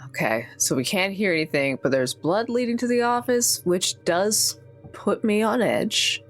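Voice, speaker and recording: A woman's voice: mid-range voice, measured pace, soft background music. A woman playing a tabletop roleplaying game, chatting playfully and full of exclamations. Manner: animated, exclamatory. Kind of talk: casual playful game chat.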